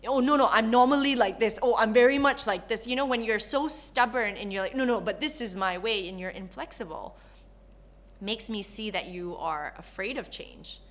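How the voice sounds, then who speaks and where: Young woman guest on a podcast, bright clear voice, laughs a lot, tells stories with lots of energy. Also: fairly high pitch, normal speed. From Malaysia, raised in Canada. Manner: laughs often, animated storytelling. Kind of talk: casual interview chat.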